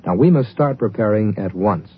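Low-voiced vintage radio announcer, muffled. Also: male voice, animated, normal speed, slightly rough. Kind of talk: 1950s public service announcement reading. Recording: muffled, hissy old radio recording.

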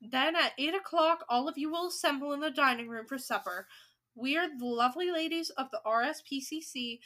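Woman putting on an imperious, screechy head witch. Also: high voice. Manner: commanding, shouting and screeching. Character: tyrannical, screeching Grand High Witch, imperious and scornful.

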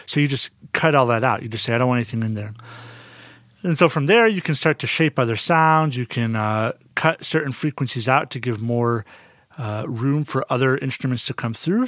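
A man with a naturally dark voice, giving a relaxed, conversational lesson with plenty of fillers and restarts. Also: medium pitch, dry recording, studio quality. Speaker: audio instructor. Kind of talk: casual explanatory lesson.

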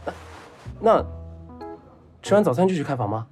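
Casting: spoiled young heir in love, clingy, naive, whiny, very animated pitch, for audio drama. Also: male voice, normal speed, soft background music. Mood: eager, chatty. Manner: eager, whiny, childishly earnest chattering. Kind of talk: Chinese audio drama acting.

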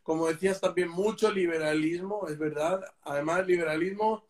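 A man explaining things in a casual conversation, often halting with repeated fillers. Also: fairly high pitch. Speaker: missionary.

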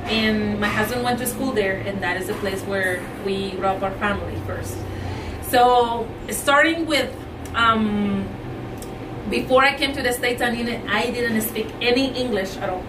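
A woman's voice: a little high, normal speed. A woman from Mexico in her thirties who speaks English with a Spanish accent and is a guest on an interview. She talks about herself in a chatty way, laughs often, and sometimes searches for words. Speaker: guest, entrepreneur and mother. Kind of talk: casual interview talk. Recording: echoey room, mic at a distance, background noise.

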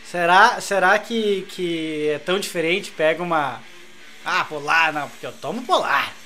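A man, co-hosting a beer podcast and explaining things to listeners in an animated, casual way. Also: on the high side, moderate pace, a little hiss or hum. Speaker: podcast co-host. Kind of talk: casual chat over beer.

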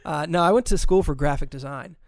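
A man's voice: on the high side, brisk, vocal fry, dry recording, clean studio sound. A man from Central Florida, a podcast guest, speaking in an easygoing, self-deprecating casual chat.

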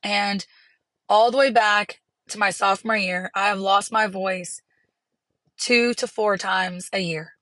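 A hoarse woman, hosting her podcast from a parked car in an earnest, encouraging way. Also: medium pitch, steady tone, slow pace, pushed and bright, no echo. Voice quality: raspy. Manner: earnest, encouraging, rambling with restarts. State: hoarse from laryngitis. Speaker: podcast host. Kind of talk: casual solo podcast talk.

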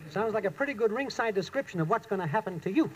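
An actor playing a shifty, flippant, slangy loafer boyfriend in a 1940s radio comedy. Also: male voice, high-pitched, animated, fast. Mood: ominous, flippant. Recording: noisy old radio recording with clicks.

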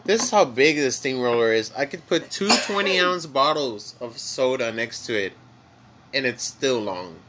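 A man whose first language is Spanish, speaking with a Mexican accent as a podcast co-host, rambling in a relaxed way with many fillers while high. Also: moderate pace, very noisy. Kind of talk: casual chat.

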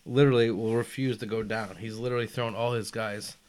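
A young man in casual conversation, emphatic and animated, dropping "man" and "dude" as he argues his point. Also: lowish voice, fairly quick. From United States, family from Albania. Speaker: podcast guest. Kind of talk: casual chat.

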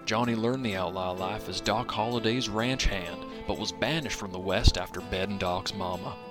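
A man narrating an outlaw story for an audio play, in a measured storytelling delivery. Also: lowish voice, close to an everyday voice. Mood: dramatic. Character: gravel-toned male narrator of an outlaw tale. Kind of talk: scripted western narration. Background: guitar music.

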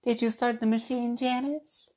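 A woman's voice: on the high side, flat delivery, moderate pace, a little mellow, lightly acted. A woman voicing a prim eighty-five-year-old sister who scolds her siblings about manners. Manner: primly scolding, proper.

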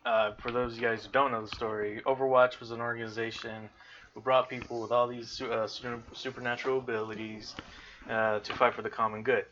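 A man casually explaining a game's backstory while playing, halting often with fillers and restarts. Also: mid-range voice, little pitch movement, fairly bright tone. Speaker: gamer explaining a game's story. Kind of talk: casual gameplay commentary. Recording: muffled lo-fi audio with faint noise.

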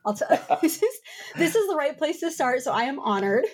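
A woman's voice: high-pitched, sing-song, brisk. A woman hosting a podcast interview, chatting in an upbeat, friendly way. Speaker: podcast host. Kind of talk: casual interview chat.

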